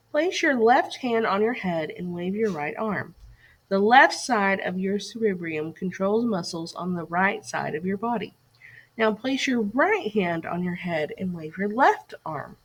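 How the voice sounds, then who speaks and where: Woman reading aloud with lively, varied pitch. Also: breathy edge. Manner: instructional, addressing young listeners with questions and directions. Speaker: teacher reading a lesson aloud. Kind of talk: scripted educational read-aloud for children.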